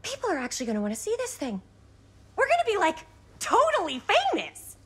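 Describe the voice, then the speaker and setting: A woman's voice: extremely high pitch, huge pitch swings, fairly quick, full character voice. An actress playing a brash, dramatic young American woman in a video game, theatrical, sarcastic and bossy in her slangy talk. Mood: excited. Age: young adult. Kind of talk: video game cutscene acting.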